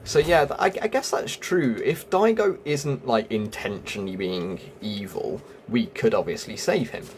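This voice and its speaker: A man's voice: lowish voice, expressive, normal speed, hardly any pauses, darkish tone. Male gaming host over noticeable background noise. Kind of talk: casual game walkthrough commentary.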